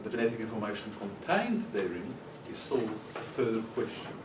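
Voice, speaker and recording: Man researcher on a panel, lowish voice, lots of ums, far from the mic, noticeable noise. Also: very animated, brisk. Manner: hesitant, many ums. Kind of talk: conference panel remarks. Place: conference hall. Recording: echoey hall, distant mic, noisy.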